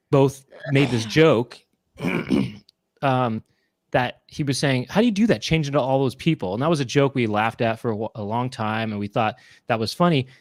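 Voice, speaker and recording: A man's voice: mid-range voice, no room sound. Male co-host, thirties, mid-range voice, rambling casual talker, dry close home recording. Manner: rambling, chatty, self-correcting. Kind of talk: casual live-stream chat. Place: small room at home. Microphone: handheld mic with headphones.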